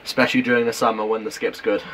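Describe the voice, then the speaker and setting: A British man in casual two-way radio chat, halting and matey, using operator jargon. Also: medium pitch, steady tone, a bit bright. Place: at home beside a CB radio set. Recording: noisy room with radio hiss.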